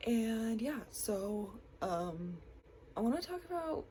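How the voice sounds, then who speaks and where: A woman's voice: a little high, very animated, takes it slowly, dark tone, slight background noise. A young woman with a stuffy, congested voice from a cold, chatting casually to her camera with lots of likes and ums. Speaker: vlogger.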